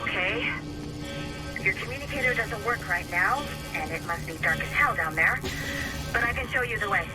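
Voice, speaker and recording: An actor playing a breezy, teasing, excitable tech handler who guides the listener in a game. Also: male voice, high voice, normal speed, poor audio. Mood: flustered, helpful. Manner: casual, teasing. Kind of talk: casual game guide dialogue. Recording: loud music over the voice.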